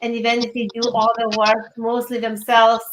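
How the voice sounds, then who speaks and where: A woman with a Lithuanian accent speaks in a fairly high, very even voice as an interview guest. She answers earnestly and haltingly, recorded in an echoey room. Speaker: interview guest, career coach. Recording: echoey room with background noise.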